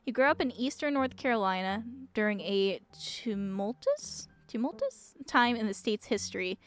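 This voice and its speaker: A woman reads visual novel text aloud as a game streamer. Her voice sits a little high, and music plays behind it. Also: very animated, normal speed.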